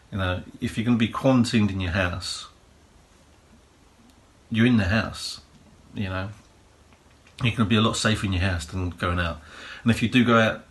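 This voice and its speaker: A man with a slightly low, croaky voice talks through practical preparation tips in a loose, rambling way. He hosts his own prepping channel, and his casual delivery leans on fillers and "you know" as he explains. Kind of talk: casual advice monologue.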